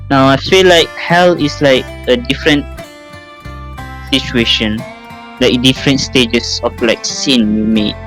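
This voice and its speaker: Halting male podcast host over guitar music. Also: medium pitch, fairly bright tone. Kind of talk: casual chat. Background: plucked guitar music.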